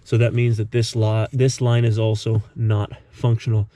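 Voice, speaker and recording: A man's voice: fairly low pitch, little pitch movement, normal speed, dark tone. A man narrating a casual hands-on DIY tutorial in a methodical, explanatory way. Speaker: host of a DIY car repair tutorial.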